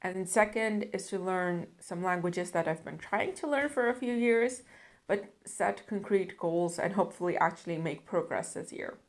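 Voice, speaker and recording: Young woman, from the netherlands, lowish voice, earnest, measured vlog chat. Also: lively intonation. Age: young adult. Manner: earnest, reflective, measured with some fillers. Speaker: language learning vlogger. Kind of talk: casual talk to camera.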